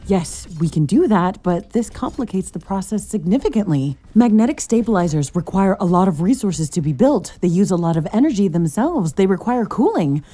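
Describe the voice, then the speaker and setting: An actress playing an earnest, curious scientist-commando who pilots a mecha suit in a sci-fi game, talking in a measured, thoughtful, professional way. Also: female voice, medium pitch, sing-song, mellow, soft background music. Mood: practical, explanatory. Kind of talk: scripted sci-fi game dialogue.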